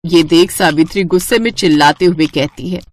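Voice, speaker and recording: A woman narrating a scripted cartoon family story in an expressive storytelling manner. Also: on the low side, moderate pace.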